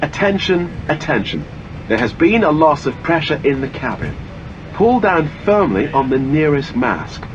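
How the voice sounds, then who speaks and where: A man's voice: a little high, big pitch swings, normal speed. A man reading scripted airline cabin announcements in a formal, instructional manner. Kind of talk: scripted cabin safety announcement, read aloud. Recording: muffled lo-fi audio with engine-like cabin noise.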